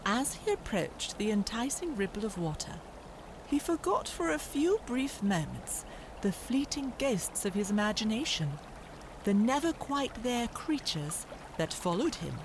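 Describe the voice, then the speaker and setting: Expressive woman narrating a storybook tale. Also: on the high side, measured pace, background noise. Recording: music underneath.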